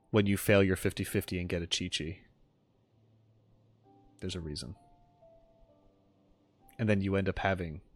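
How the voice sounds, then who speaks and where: A man hosting a casual reaction livestream, chatting with viewers in a rambling, playful way and often repeating his points. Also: low voice. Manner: playful, jokey banter. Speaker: livestream host reacting to game content. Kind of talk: casual chat with live viewers.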